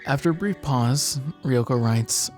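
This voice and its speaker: A man's voice: mid-range voice, a little slow, a little mellow, faint music behind. A man narrating a visual novel aloud and chatting casually in a let's-play.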